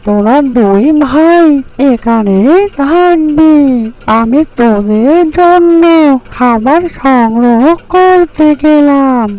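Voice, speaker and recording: A woman voicing a watchful, protective mother duck in a fairy-tale cartoon, speaking in a deliberate, cautioning way. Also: high-pitched, animated, darkish tone, poor audio. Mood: caring, cautioning.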